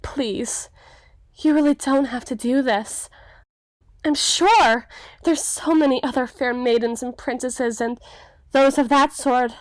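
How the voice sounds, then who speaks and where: A woman's voice: high-pitched, steady tone, slow pace, no echo. A woman playing a young, melancholy, self-doubting storybook damsel in an ASMR fantasy roleplay, with theatrical, hesitant, old-fashioned formal phrasing. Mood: flustered pleading.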